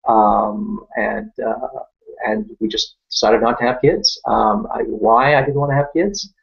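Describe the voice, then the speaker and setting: A middle-aged French Canadian man, a native French speaker, hosting a live Q&A and answering viewer questions in a thoughtful, unhurried way. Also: on the low side, creaky, lo-fi sound. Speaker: Q&A host.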